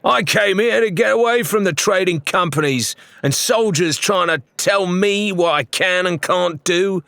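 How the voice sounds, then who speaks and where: A man's voice: high voice, unhurried, gravelly, a bit bright, no room sound, pitched above the performer's own voice, full character voice. A man voicing a defiant, brash pirate calling out from a tavern crowd in an audiobook. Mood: brash defiance. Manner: indignant, brash. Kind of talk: audiobook character voice.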